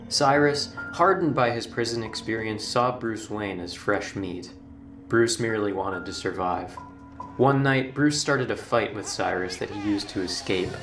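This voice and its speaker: A man narrating a scripted horror documentary in a measured, formal storytelling manner. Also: fairly low pitch, a bit bright. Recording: roomy, distant playback with music. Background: background music.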